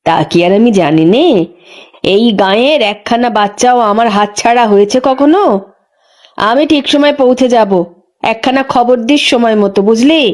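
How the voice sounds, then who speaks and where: A woman's voice: a little high, normal speed, darkish tone, natural voice. A woman voicing a weary, careworn village midwife in a dramatised horror story, speaking in a colloquial, lamenting way. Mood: concerned, admonishing. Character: weary, careworn village midwife, a caring but burdened wife.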